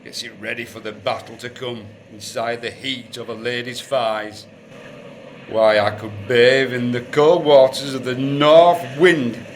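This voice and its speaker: An actor playing a lusty, boozy duke in a period comedy radio play, pompous and exclaiming. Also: male voice, medium pitch, fairly even intonation, measured pace, a little hiss or hum, natural voice. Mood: boisterous, boastful. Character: lusty, blustering, boozy duke on his wedding night.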